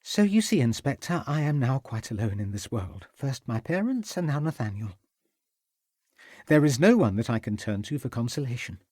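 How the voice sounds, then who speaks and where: A man's voice: mid-range voice, big pitch swings, moderate pace, darkish tone, no echo, studio quality. A man doing a genteel grieving widow. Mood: sorrowful.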